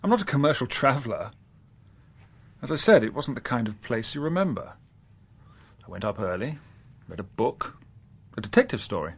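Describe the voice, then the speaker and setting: Husky, cocky young Englishman. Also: mid-range voice, sing-song, moderate pace, pauses often. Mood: insolent, offhand. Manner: flippant, evasive. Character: cocky, evasive young English man, insolent suspect. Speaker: actor in a radio drama. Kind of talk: naturalistic radio drama acting.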